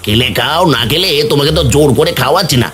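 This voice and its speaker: A man playing a rude, stingy village snack seller in a cartoon story, gruff and dismissive as he scolds. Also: a little high, rapid-fire, a bit bright, slight background noise, close to an everyday voice. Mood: irritated. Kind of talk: Bengali cartoon story dubbing.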